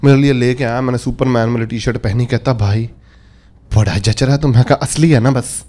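A man in his thirties telling animated stand-up stories on a club stage, holding a handheld mic and acting out quotes in different voices. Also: mid-range voice, fairly quick. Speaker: stand-up comedian. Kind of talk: stand-up comedy storytelling. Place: comedy club stage before a live audience. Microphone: handheld stage microphone. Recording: live club audience laughter.

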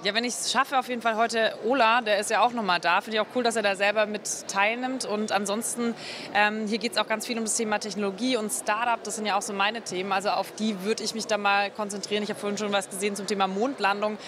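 Candid young woman in relaxed chat. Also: medium pitch, fast talker, background noise. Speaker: journalist colleague as guest. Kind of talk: casual interview chat. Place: lounge area of a convention hall. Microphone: reporter's handheld microphone.

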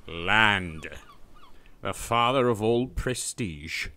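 A man's voice: lowish voice, lively intonation, very slow, bright, forceful tone, full character voice. A man voicing a pompous, boastful medieval English knight and sea captain for a cartoon, with grandiose, archaic, declamatory delivery. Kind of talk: theatrical cartoon character acting.

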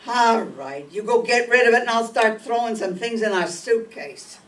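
A woman's voice: fairly high pitch, normal speed, close to an everyday voice. A woman playing a brassy, sarcastic swindler's wife in 1940s radio crime drama style, scolding as she goes. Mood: sarcastic, sour. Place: live stage reading in a meeting room. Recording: distant mic, noisy hall.

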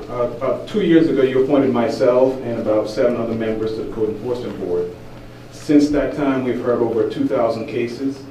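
A man's voice: mid-range voice, dark tone, slight background noise. A man addressing a council meeting as a board member, earnest and urging. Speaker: code enforcement board member. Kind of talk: public comment at a council meeting. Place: large council chamber. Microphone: podium microphone heard from a distance. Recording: echoey chamber, mic at a distance.

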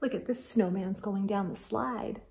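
A middle-aged woman hosting a children's storytime, reading a picture book aloud in an expressive, sing-song way and talking directly to her young listeners. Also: mid-range voice, a little slow, vocal fry, background noise. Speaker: host of children's storytime show. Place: indoors in a room lined with bookshelves.

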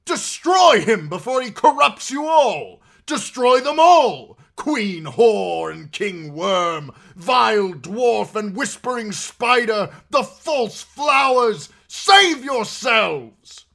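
A man's voice: sits high, sing-song, takes it slowly, creaky, few pauses, a bit bright, pitched above the performer's own voice, heavily acted. A man voicing a solemn knight turned fiery zealot, crying out fervent, declamatory curses in an audiobook story. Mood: fanatical fury.

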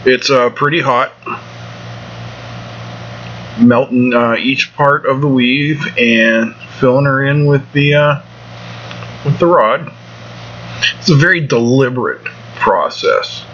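A man's voice: mid-range voice, slow pace, long pauses, a bit bright. Brief: middle-aged male welding host, down-to-earth shop talk, muffled camera-mic sound in a garage with a faint AC hum. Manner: plain-spoken, folksy shop talk. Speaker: welding instructor, show host. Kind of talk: casual instructional voice-over. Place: home welding shop, indoors. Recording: dull lo-fi sound with faint air conditioning hum.

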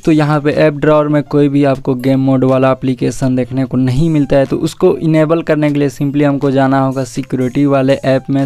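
Indian guy doing a casual tech walkthrough, flat pitch, mellow tone, music behind him. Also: clear, resonant tone. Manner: repeatedly addresses viewers as guys, mixes in English words. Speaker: tech video host. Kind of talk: casual phone tutorial narration.

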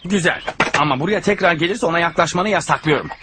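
A man's voice: a little high, fast, noisy background, natural voice. A man playing a nervous, overprotective father in a dubbed cartoon, stammering and exclaiming in a fussy, jumpy way. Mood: stern. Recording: music behind the voice.

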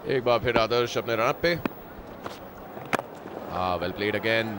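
A man co-commentating a cricket match live, polite and measured, often addressing his partner as sir. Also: fairly low pitch. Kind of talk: live cricket commentary mixing Hindi and English. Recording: commentary with loud stadium noise.

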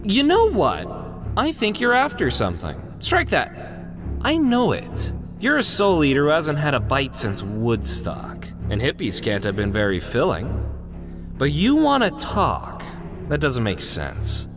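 A fearless wisecracking scientist acted by a man with an American accent, in a comic dub. He is sardonic and casual, pitched a bit high and very animated, over a music bed. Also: a little slow, full character voice. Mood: glib, needling. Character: wisecracking, fearless, sarcastic male ghost-hunting scientist. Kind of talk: comic dub character acting.

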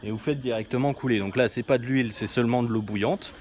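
A man in his thirties talks straight into a phone held at arm's length, stopping and restarting as he explains. His voice sits at an ordinary middle pitch. Clear background noise from the outdoor workshop runs under everything he says. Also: steady tone, quick pace, a little mellow. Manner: chatty, hesitant, explains with fillers and restarts. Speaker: travel vlogger. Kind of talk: casual explanatory vlog narration. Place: small outdoor workshop yard in a village. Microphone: phone camera at arm's length. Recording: noisy outdoor phone recording.

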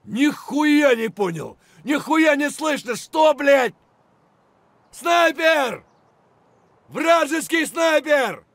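An actor playing an aggressive, foul-mouthed Russian soldier barking urgent, coarse shouts in a military shooter game. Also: male voice, very high voice, animated, very slow, no echo, exaggerated voice. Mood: urgent, shouting.

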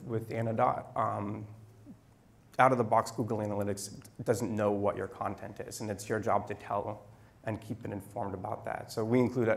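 Middle-aged male presenter, low and very gravelly, handheld mic in a meetup room, measured with ums. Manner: measured, frequent ums and restarts. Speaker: conference speaker. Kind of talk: technical presentation. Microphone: handheld microphone.

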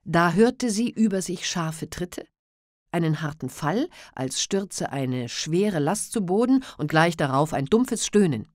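Low-voiced woman reading an audiobook. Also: dry recording, clean studio sound. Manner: measured, expressive reading. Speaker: audiobook narrator. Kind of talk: scripted literary narration.

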